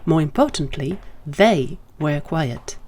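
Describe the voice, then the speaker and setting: A woman with a fairly low voice narrates a scripted story. She is measured and dramatic, shaping her phrases like a storyteller. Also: expressive, darkish tone.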